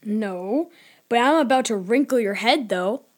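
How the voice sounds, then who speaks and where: An actor playing an excitable, combative boy in a children's audio drama, with a brash, teasing manner. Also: mid-range voice, a little slow, natural voice. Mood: cocky, teasing. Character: excitable, combative boy eager for pirates and scrapes.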